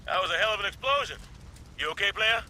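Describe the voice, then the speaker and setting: An actor playing a tough, streetwise gang lieutenant in a video game, blunt, slangy and commanding. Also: male voice, high-pitched, lively intonation, fast talker, pushed and bright, slight background noise, exaggerated voice, low-quality recording. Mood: brisk, commanding. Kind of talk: video game cutscene acting.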